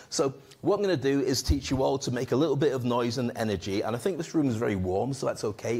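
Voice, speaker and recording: A man's voice: fairly low pitch, a little mellow, breathy edge. A man hosting a live event on stage, joking with the audience and coaching them on public speaking. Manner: playful, jokey, coaxing the audience.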